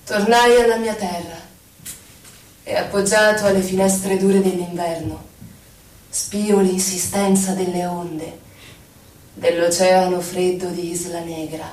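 A woman recites poetry in a measured, solemn manner, phrase by phrase. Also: lowish voice, steady tone, a little mellow. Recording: echoey, distant from the microphone.

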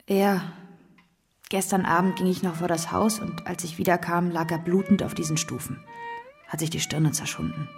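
An actress playing a guarded, careworn wife in a German radio play, terse and matter-of-fact. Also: female voice, lowish voice, flat delivery, normal speed, vocal fry, music in the background. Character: guarded, careworn wife tending an ailing husband.

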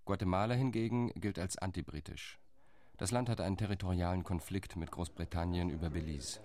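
An actor playing a calculating delegate in a radio play, reporting the news in a cool, matter-of-fact way. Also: male voice, deep voice, fairly quick, a little mellow, no echo, lightly acted.

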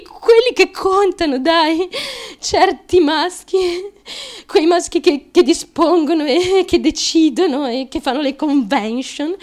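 Casting: naive, fiercely determined young woman hungry for fame; high-pitched, slow, halting stage monologue for radio. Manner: halting, repetitive, obsessive confiding. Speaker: stage actress.

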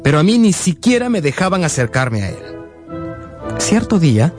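A man's voice: on the high side, big pitch swings, moderate pace. An actor playing a sensitive young man narrating his unhappy boyhood in a radio drama. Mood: pained. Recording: music bed behind the voice.

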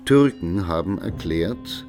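A sober witness in a radio drama, with a very low voice. He gives formal, factual testimony in measured sentences. Music plays clearly behind him. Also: wildly swooping pitch, a little mellow, full character voice. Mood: grave, factual.

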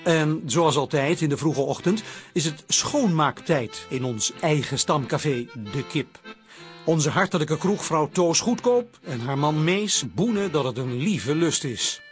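An actor as the genial storytelling narrator introducing a radio comedy, with accordion music behind. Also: male voice, fairly high pitch, lively intonation, unhurried. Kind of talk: scripted radio drama narration.

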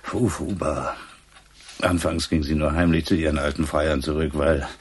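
An actor playing a gruff, disillusioned provincial police inspector in a radio crime drama, terse and colloquial. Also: male voice, rumbling low, flat delivery, moderate pace, slight background noise. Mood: bitter, sardonic.

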